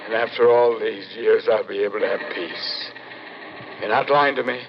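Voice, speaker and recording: An actor playing the living severed head of a financier, weary and begging to die, in slow, pleading 1940s radio drama style. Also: male voice, on the low side, gravelly. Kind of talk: 1940s radio suspense acting. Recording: dull old radio recording, faint noise.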